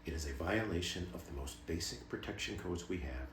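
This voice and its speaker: In a roomy, echoing space, a man plays a shadowy former military intelligence officer in a film-style monologue. The voice is low, and he confides what he knows in measured, clipped phrases with cynical emphasis. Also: lively intonation, noisy background. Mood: indignant, emphatic. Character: shadowy ex-military intelligence insider, guarded, cynical, revealing secrets. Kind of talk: dramatic film monologue performance. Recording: roomy, echoing room sound.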